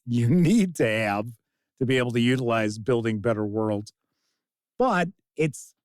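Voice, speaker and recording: A man's voice: on the high side, a little mellow, no room sound. A man giving a casual spoken review in an emphatic, opinionated, conversational way, recorded on a close microphone. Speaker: game reviewer and host.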